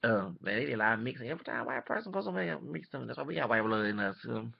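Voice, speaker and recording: Male vlogger, mid-pitched and full of vocal fry, halting casual commentary with restarts, muffled webcam sound. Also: animated. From Texas, United States. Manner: rambling, halting, repeats and self-corrects. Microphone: webcam microphone. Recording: dull lo-fi webcam audio.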